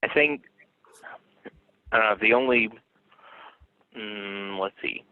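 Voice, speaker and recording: A man's voice: on the low side, takes it slowly, smooth, no room sound. A man on a video call, hesitant and searching for words. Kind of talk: casual meeting discussion. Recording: phone-like thin sound.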